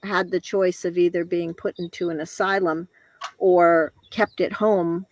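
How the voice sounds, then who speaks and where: Older American female interview guest, halting personal storytelling, very leisurely pace, dry close sound. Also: on the low side, little pitch movement, no echo. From Connecticut, northeastern United States. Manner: reflective, halting, pausing between short phrases. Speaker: guest telling own life story.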